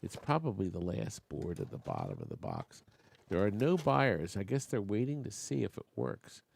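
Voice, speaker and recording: A man's voice: on the low side, very animated, normal speed, gravelly, darkish tone, slight background noise. A man hosting a live online auction, chatting with bidders and making joking asides while losing his voice. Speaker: auctioneer and show host.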